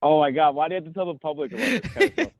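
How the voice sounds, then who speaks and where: A man in his thirties with a cold, chatting as a podcast co-host and rambling excitedly through his stories. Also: a little high, racing, no echo. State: sniffly from a cold. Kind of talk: casual chat. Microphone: phone. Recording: tinny phone line.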